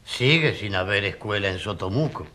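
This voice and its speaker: An actor playing a plain-spoken, aggrieved peasant witness in a courtroom radio drama, talking bluntly and simply. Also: male voice, on the low side, expressive, moderate pace, pushed and bright.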